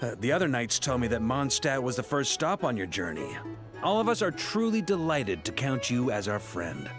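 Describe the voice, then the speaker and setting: Guy playing a jovial knight leader in a game, really animated, gracious, loud music under him. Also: mid-range voice, normal speed, lightly acted. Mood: grateful, jovial. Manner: courtly, effusive. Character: jovial, gracious knightly grandmaster, hearty and grateful. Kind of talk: video game character acting.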